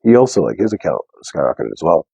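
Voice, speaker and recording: A young man with a deep bass voice, talking softly and casually to viewers on a live stream. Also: very animated, fast talker, gravelly, breathy edge, no echo. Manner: soft, unprojected speech. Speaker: livestream host. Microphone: wearing a mic.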